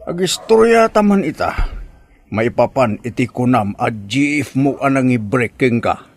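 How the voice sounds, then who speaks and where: A man's voice: mid-range voice, expressive, measured pace. A man with an Ilocano accent playing a nagging, exasperated, blustery uncle in a comedy drama, scolding and exclamatory. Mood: advising.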